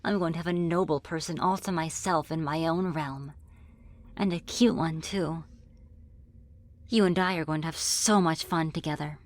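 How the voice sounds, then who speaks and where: Friendly demoness woman acting for ASMR roleplay: low pitch, gentle and flirty, hesitant, reassuring delivery. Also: fairly even intonation, normal speed, natural voice. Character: friendly, flirty, apologetic female demon ruling her own realm. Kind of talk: ASMR roleplay spoken to the listener.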